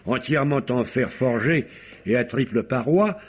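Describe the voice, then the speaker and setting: A husky-voiced, courteous male magistrate of the nineteenth century. He speaks formally and with dramatic emphasis, fair-minded in temper, at a middling pitch. It sounds like a muffled old radio play. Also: animated, moderate pace. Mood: grave, earnest. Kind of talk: classic French radio drama acting. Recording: dull, lo-fi old radio recording.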